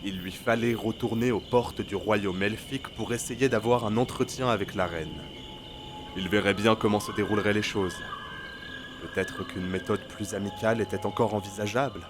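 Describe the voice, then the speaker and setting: A man's voice: fairly low pitch, fairly even intonation, normal speed, background noise. A man narrating a scripted fantasy audio drama as a storyteller. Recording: loud music under the voice.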